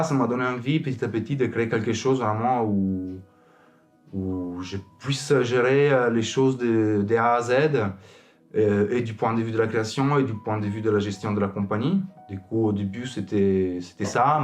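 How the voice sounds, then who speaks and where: Man over music. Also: on the low side. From abroad, outside France. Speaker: circus acrobat, company founder. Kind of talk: informal interview about own work.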